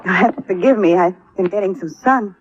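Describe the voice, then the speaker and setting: An American actress playing a cool, unsentimental widow in a 1950s radio crime drama, composed, curt and evasive. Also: female voice, medium pitch, fairly quick, slightly rough, close to an everyday voice. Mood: cool, polite. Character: cool, unsentimental young-sounding widow, defiant under questioning. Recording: muffled vintage radio recording.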